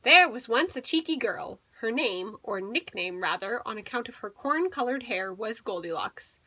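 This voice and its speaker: A woman's voice: on the high side, expressive, moderate pace, pushed and bright, dry recording. A woman teacher reading a picture book aloud to young children, expressively and with little explanatory asides.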